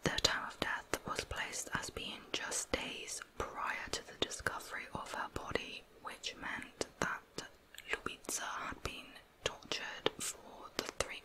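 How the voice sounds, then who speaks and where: A woman narrating true crime in ASMR style, in a hushed, breathy, unvoiced whisper with measured storytelling. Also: low-quality recording.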